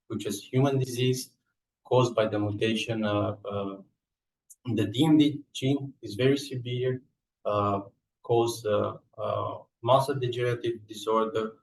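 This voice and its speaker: A man with a Romanian accent giving a scientific slide presentation in a halting, hesitant way with frequent filled pauses. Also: on the low side, steady tone. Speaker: research scientist and lab coordinator presenting. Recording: echoey room, distant microphone.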